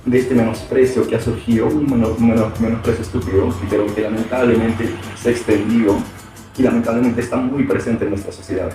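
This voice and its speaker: A man's voice: mid-range voice, fairly even intonation, brisk. A Peruvian man who teaches, answering interview questions in a reflective way, hesitating often with fillers and restarts. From Peru. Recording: very echoey room, far from mic, music behind.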